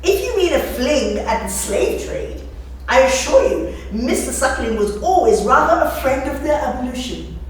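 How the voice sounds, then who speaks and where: A woman's voice: sits high, big pitch swings, normal speed. A woman voicing an affected, easily shocked Regency lady from a novel, arch and exclaiming. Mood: affronted, arch. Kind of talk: acted reading of novel dialogue. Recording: echoey room, distant mic, faint noise.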